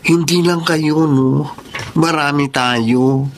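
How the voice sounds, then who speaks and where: Concerned young man, lightly high voice. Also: fairly even intonation, takes it slowly, a little hiss or hum. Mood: concerned, encouraging. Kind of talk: conversational radio drama acting.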